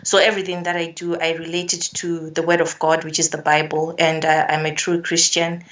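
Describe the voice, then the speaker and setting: A young woman from Zimbabwe gives earnest, measured answers in a low, flat voice and often restarts her phrases. She sits some way back from the microphone, so she sounds distant. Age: young adult. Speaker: climate activist guest. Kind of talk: remote interview answers. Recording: distant from the mic.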